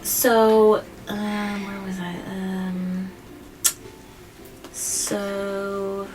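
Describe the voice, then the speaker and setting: A woman hosting a children's read-aloud, explaining things simply and gently to a child and often checking in with questions. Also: mid-range voice, monotone, very slow. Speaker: host of a children's story read-aloud show. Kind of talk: picture-book reading and simple explanations to a child. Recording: distant mic, faint background noise.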